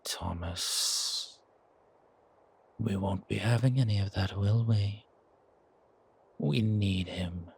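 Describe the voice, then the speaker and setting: A man playing a genteel, patronizing, quietly menacing leader in an audio drama, husky and gravelly, softly chiding in a measured way. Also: on the low side, full character voice. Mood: calm chiding. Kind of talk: audio roleplay drama acting.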